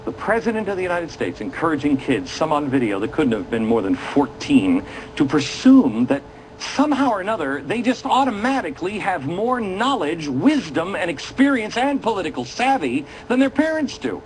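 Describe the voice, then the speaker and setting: A man's voice: fairly high pitch, sing-song, moderate pace, a little hiss or hum, poor audio. A man hosting a political commentary show, talking to viewers in a dramatic, indignant and sarcastic manner.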